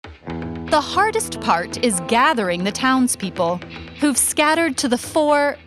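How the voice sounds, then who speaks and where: A woman narrates fiction with a lively, swooping delivery. She takes her time over the sentences, and music plays softly underneath. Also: sits high, slow. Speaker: author reading own short story. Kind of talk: expressive scripted story narration. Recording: music bed under the reading.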